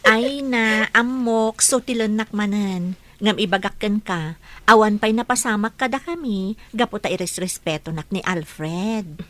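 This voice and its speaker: A woman's voice: mid-range voice, unhurried, a bit bright, no room sound, close to an everyday voice. A woman playing an earnest, devout young woman. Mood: cheerful. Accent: Ilocano. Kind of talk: hobby radio drama.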